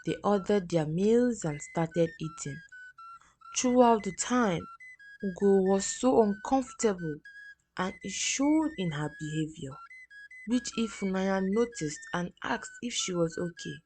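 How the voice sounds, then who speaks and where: A woman's voice: medium pitch, lively intonation, measured pace, a little mellow, faint music behind, low-quality recording. A woman reading a scripted folktale as a storyteller.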